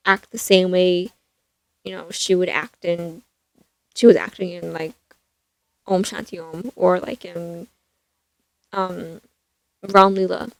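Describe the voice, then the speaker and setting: A young woman with a soft voice chatting casually about a film, rambling with lots of fillers and restarts. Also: medium pitch, dry recording. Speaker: vlogger reviewing a film. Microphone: stand mic.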